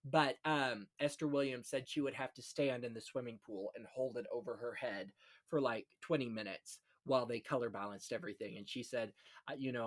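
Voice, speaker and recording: A man hosting a casual film history chat, telling anecdotes in a gossipy, conversational way. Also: medium pitch. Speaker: podcast host.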